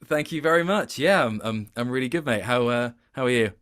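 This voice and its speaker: A man's voice: mid-range voice, a little fast, a bit bright. A man speaking as an interview guest in a casual, conversational style. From Wales.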